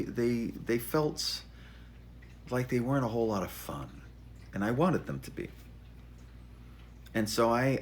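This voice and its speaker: A man's voice: fairly low pitch, fairly bright tone, slight background noise. A middle-aged man, an author, telling his story informally in a wry, self-deprecating, stop-and-start way. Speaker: author introducing his memoir. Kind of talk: informal spoken monologue, storytelling.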